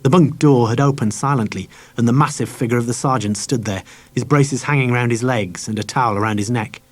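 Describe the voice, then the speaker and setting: Casting: man with a mid-pitched voice to narrate a children's audiobook from script. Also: a little fast.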